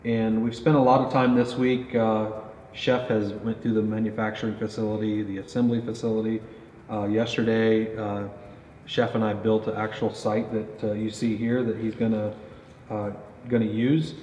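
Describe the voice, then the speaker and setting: A man's voice: lowish voice, flat delivery, moderate pace, darkish tone. Man explaining gear in a roomy range. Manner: casual, many fillers, explaining gear. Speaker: company pro staff interviewer. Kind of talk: product interview. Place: indoor archery range. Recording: roomy echo, dull, faint noise.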